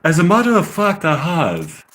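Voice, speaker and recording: An American man voicing an earnest Englishman with an amateur English accent, reading a script haltingly. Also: on the high side, huge pitch swings, a bit bright, pitched above the performer's own voice. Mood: inquiring. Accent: amateur put-on English.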